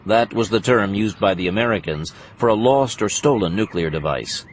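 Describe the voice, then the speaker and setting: A man's voice: on the low side, normal speed, a little hiss or hum. A man reading scripted narration for an action thriller audiobook.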